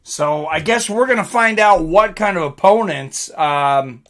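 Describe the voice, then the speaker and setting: Slow-paced, bright-voiced man hosting a fight stream. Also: sits high, animated. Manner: informal, addresses viewers directly, clears throat often. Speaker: host of a live fight watch-along stream. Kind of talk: casual live commentary, reading out and answering chat.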